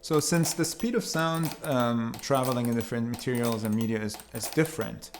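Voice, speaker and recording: A man from Iran giving an explanatory talk as a sound artist, in measured, hesitant phrases with pauses. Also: mid-range voice, fairly even intonation, a little hiss or hum. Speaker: sound artist presenting own work.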